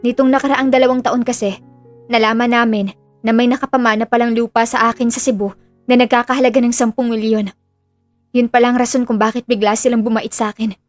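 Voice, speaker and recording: A woman's voice: fairly high pitch, little pitch movement, fairly quick, close to an everyday voice. A woman playing an earnest, burdened young woman in an audio drama, confiding in a subdued way with a Filipino accent. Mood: serious. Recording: music under the voice.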